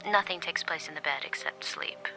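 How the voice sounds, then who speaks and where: A low, creaky, subdued handmaid narrator. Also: female voice, normal speed, in a whisper, poor audio. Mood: hushed, introspective. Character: subdued, watchful young woman kept as a handmaid. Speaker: lead actor and first-person narrator. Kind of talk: audio drama, intimate literary narration and dialogue. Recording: music under the voice.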